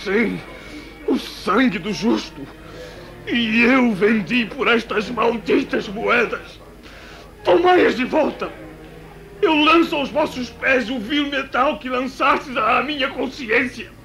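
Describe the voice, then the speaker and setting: Desperate remorseful betrayer, very high voice, slow declamation, religious drama, music behind. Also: male voice, vocal fry, pushed and bright, heavily acted, low-quality recording. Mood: tormented defiance. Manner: impassioned, declamatory. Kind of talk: religious radio drama acting.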